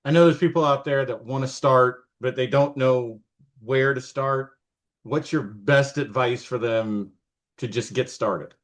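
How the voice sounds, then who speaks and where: A man's voice: mid-range voice, unhurried. A man hosting a casual interview. He speaks eagerly and often trips over his words. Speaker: podcast host.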